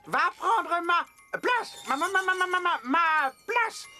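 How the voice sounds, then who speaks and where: An actor playing an excitable, muddled old Father Christmas in a French-dubbed cartoon, theatrical and exuberant. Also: very high voice, slow pace, faint music behind, exaggerated voice.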